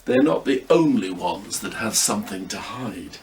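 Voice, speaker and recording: A man's voice: on the low side, animated, moderate pace, a little mellow, slight background noise. A man reads a children's story aloud with lots of expression, turning now and then to speak to the listener. His voice swings widely and sounds a little distant from the mic.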